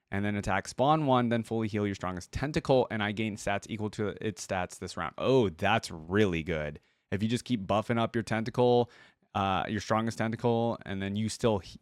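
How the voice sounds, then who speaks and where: A man's voice: lowish voice, dry recording, clean studio sound. A man giving a casual live reaction commentary, thinking aloud in an informal way as the host. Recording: music behind the voice. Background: game trailer audio and music.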